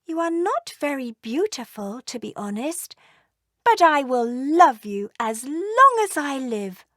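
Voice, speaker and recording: A woman voicing a doting, gentle mother dragon in a children's storybook, tender and motherly. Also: high voice, sing-song, a little slow, dry recording, studio quality, pitched above the performer's own voice, heavily acted. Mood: adoring. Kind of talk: children's storybook read aloud.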